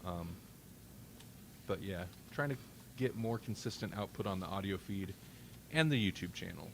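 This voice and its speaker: A man's voice: deep voice, expressive, moderate pace, vocal fry, a bit bright, slight background noise. A man hosting a casual podcast, talking in a rambling, wry way and often interrupting himself. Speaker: podcast host. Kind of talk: casual banter on a live-streamed podcast.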